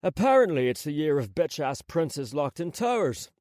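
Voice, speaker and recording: A man playing a cocky, animated mobster. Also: fairly high pitch, normal speed, no echo, studio quality. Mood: taunting. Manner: flippant, taunting.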